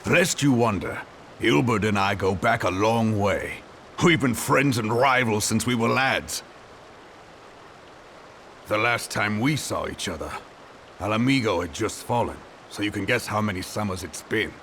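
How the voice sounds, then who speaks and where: An actor playing a gruff older male ex-gladiator turned politician in a game cutscene, hearty and bluff in manner. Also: fairly low pitch, a little slow, fairly bright tone, slight background noise. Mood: reminiscing. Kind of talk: video game cutscene voice acting.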